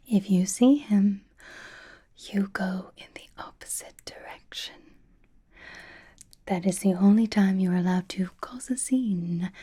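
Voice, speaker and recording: A woman's voice: medium pitch, mellow. A woman voicing a possessive, flirtatious vampire in an intimate, teasing whispered ASMR roleplay, with dry exasperation. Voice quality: breathy whisper. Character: possessive, flirtatious vampire doting on captive vampire hunter.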